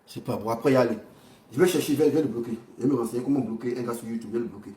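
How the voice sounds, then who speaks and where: A man's voice: medium pitch, rapid-fire, warm tone. Echoey, rambling Ivorian man livestreaming. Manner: rambling, repetitive, blunt and crude. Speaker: livestream host. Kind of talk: informal livestream political commentary. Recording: echoey room, distant from the mic.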